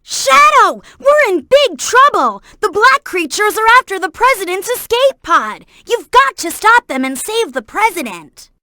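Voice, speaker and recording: A woman voicing a young boy fox sidekick in a video game, earnest, helpful and excitable, with clear childlike delivery. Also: very high-pitched, measured pace, hardly any pauses, dry recording, clean studio sound, heavily acted. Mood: urgent, encouraging. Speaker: video game character giving hints. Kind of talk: game voice acting, tutorial hints and alerts.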